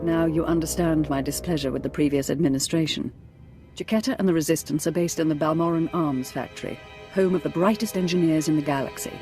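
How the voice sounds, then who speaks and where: A woman's voice: low-pitched, quick pace, natural voice. A woman playing a stern, calculating governor in a video game, speaking formally and with clipped command. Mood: cool, briefing. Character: stern, calculating Imperial governor briefing an agent. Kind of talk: video game voice acting, briefing. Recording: loud music under the voice.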